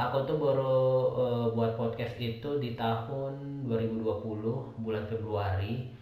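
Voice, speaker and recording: Young male podcaster, softened Medan accent, lowish voice, rambling solo chat, very echoey room. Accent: Medan Indonesian, softened. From Medan, North Sumatra. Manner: rambling, many fillers and restarts. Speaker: podcast host. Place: indoors at home, late night.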